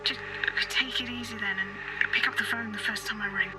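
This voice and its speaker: A woman's voice: mid-range voice, fairly even intonation, normal speed, loud music behind the voice, poor audio. A woman playing a concerned, mildly exasperated young friend in an audio drama, heard through a telephone.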